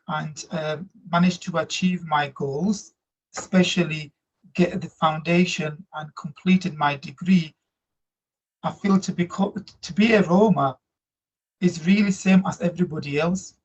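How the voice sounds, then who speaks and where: A man in his thirties from Slovakia with a Slovak accent, answering interview questions earnestly in halting English. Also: fairly high pitch, husky. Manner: earnest, reflective, halting non-native grammar. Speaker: guest, migrant workers support officer. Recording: dull lo-fi audio.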